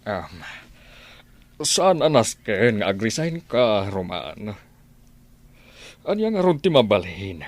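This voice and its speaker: A man's voice: fairly low pitch, very animated, slow pace, pauses often. A man with an Ilocano Filipino accent acting a concerned, persuasive middle-aged father and boss in a radio drama.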